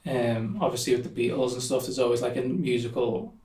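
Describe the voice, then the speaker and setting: Flat-toned Liverpool man, roomy sound. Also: medium pitch, brisk, background noise. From Liverpool, England. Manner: relaxed, rambling, many fillers like "um" and "like". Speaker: musician guest. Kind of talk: casual interview chat. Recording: echoey room, somewhat distant mic.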